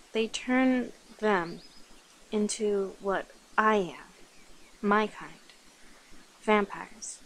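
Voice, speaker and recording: A woman playing a coy, centuries-old vampire confiding in a human lover in an ASMR roleplay, speaking in short phrases with pauses. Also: mid-range voice, close to an everyday voice. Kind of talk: ASMR roleplay, addressed to the listener.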